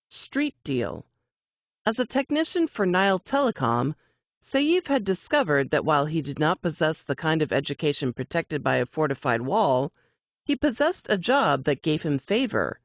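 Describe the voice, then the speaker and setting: A woman reading fiction aloud as a narrator, in an expressive, suspenseful, audiobook-style delivery. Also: low voice, dry recording, lo-fi sound.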